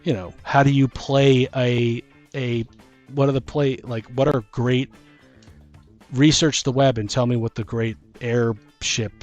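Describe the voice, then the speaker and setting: A man with an average-pitched voice talks casually as a gaming podcast co-host. He rambles and pauses mid-thought, restarting as he works ideas out aloud, with music faintly behind him. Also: fairly even intonation, muffled recording. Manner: rambling, halting, thinking aloud. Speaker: podcast co-host and game master. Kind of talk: casual chat about tabletop gaming. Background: background music.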